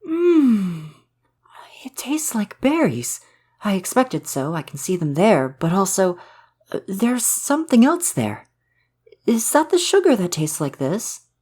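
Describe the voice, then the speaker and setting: Man playing a courtly, gentle male forest spirit. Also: high-pitched, huge pitch swings, slow, breathy edge, heavily acted. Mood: fascinated, curious. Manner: formal, old-fashioned, polite phrasing. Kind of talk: ASMR roleplay, soft romantic audio drama.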